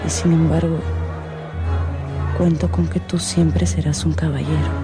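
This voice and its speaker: A woman's voice: low voice, little pitch movement, measured pace. An actress playing a seductive, deceitful noblewoman spy in a swashbuckling radio drama. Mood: seductive, earnest. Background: music.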